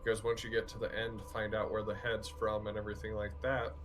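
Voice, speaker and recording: A man speaking as a guest game master in casual chat, slow and halting with pauses. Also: fairly low pitch, monotone, fairly bright tone, a little hiss or hum.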